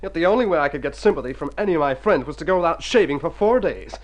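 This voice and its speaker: A man's voice: a little high, expressive, fast, natural voice. A man playing a rich, personable young hypochondriac in a radio drama, exclaiming and stammering theatrically. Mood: self-pitying. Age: young adult. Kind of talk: mid-century radio drama acting. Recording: old radio recording with music.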